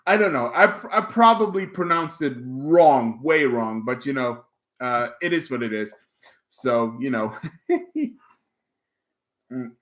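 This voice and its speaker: A man's voice: medium pitch, takes it slowly, pauses often, a bit bright. A man with a Dutch accent, a native Dutch speaker, hosting a livestream and chatting casually with viewers, rambling with lots of fillers and restarts. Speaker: livestream host. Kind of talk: casual livestream chat, reading viewer comments.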